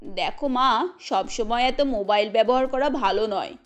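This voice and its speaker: Cartoon mother-in-law, middle-aged played by a woman: gentle, caring, advising, pitched below the narrator. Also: moderate pace, close to an everyday voice. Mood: gently concerned.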